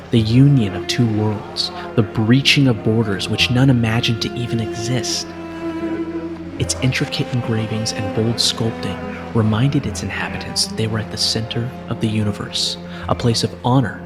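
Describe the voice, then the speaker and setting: A man reading a fantasy story as scripted dramatic narration, in a solemn, measured storytelling manner. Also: on the low side, fairly even intonation. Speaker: narrator reading fan fiction. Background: music.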